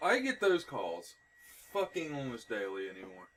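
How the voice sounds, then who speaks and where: A man's voice: medium pitch, big pitch swings, moderate pace, fairly bright tone, recorded from a distance, slight background noise. A man doing casual gameplay commentary as a streamer, with offhand, sometimes profane short reactions. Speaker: game streamer.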